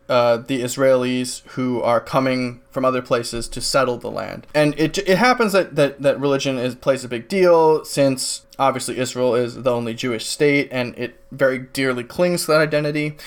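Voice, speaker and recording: A man's voice: medium pitch, clean tone. A man co-hosting a casual political discussion podcast, rambling with many fillers and restarts. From New England, United States. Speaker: podcast co-host.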